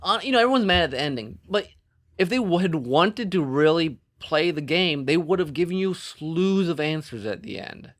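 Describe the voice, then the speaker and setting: A man with a slightly high voice speaks as a podcast co-host. He talks in a casual, conversational way, putting emphatic weight on his points and keeping an informal, animated manner. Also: normal speed. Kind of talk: casual conversational discussion of film and storytelling.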